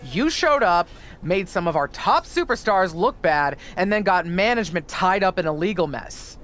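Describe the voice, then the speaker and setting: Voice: mid-range voice, animated, moderate pace, fairly bright tone, slight background noise, lightly acted. An actor playing a blunt, accusing wrestling colleague in a video game story scene. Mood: reproachful. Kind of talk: video game cutscene acting.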